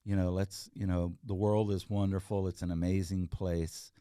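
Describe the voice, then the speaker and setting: A man's voice: low-pitched, level tone, moderate pace, mellow, clean tone, no room sound, studio quality. A middle-aged man, a guest talking casually and earnestly, rambling with fillers and restarts. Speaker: guest, entrepreneur. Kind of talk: casual interview chat.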